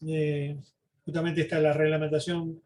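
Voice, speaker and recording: A man giving an informal slide lecture, halting, repeating words and often adding short questioning tags. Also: a little high, monotone, a little mellow. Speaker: presenter giving a history talk.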